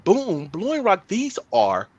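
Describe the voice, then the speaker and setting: Lively, animated man on a podcast. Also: high-pitched, takes it slowly, no room sound, highs cut off. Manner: animated, joking, repeats words. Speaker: podcast co-host. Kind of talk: casual chat.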